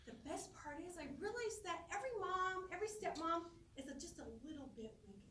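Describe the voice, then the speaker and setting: A middle-aged woman giving a humorous storytelling speech to a live audience, expressive and theatrical, with dramatic pauses and drawn-out words. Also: very high voice. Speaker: speech contest competitor. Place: roomy indoor space before an audience. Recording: echoey room, distant microphone, faint noise.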